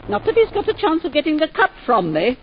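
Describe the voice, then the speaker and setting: An actress playing a proud, sharp-tongued older aristocratic grandmother, speaking with crisp, imperious, dry wit in 1940s radio acting. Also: high-pitched, wildly swooping pitch, brisk. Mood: grudging. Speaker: titled lady. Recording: dull 1940s radio broadcast.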